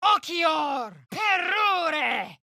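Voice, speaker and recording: A fierce female spellcaster for a video game, high and sharp. She declaims incantations with force and wide swoops of pitch, drawing the syllables out. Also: sing-song, extremely slow, vocal fry, dry recording, studio quality, heavily acted. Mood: forceful casting. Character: fierce warrior woman shouting Latin-like magic incantations.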